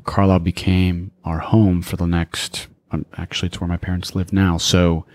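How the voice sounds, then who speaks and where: An American man around forty, reflecting on his life as an interview guest in a measured, deliberate way. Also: sits low, little pitch movement, darkish tone, no echo, studio quality. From United States, raised in small-town Pennsylvania. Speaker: podcast guest, speaker. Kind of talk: reflective personal storytelling in an interview.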